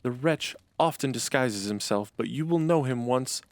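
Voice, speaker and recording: A man voicing a caring mother goat, warning her young in a high, gentle voice. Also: moderate pace, no echo, close to an everyday voice.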